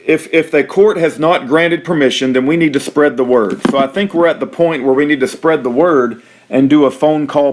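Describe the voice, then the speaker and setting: A man making an informal, earnest and polite spoken appeal as an activist, partly reading from a script. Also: medium pitch. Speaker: activist urging listeners to call a court.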